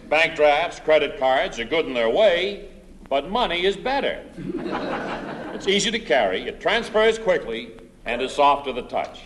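A man playing an earnest, emphatic government spokesman in a deadpan comic public service announcement. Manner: earnest, emphatic sales pitch. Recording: noisy live recording with clicks.

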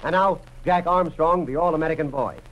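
High-pitched man announcing a radio serial. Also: a little fast, fairly bright tone, highs cut off. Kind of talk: dramatic scripted old-time radio narration.

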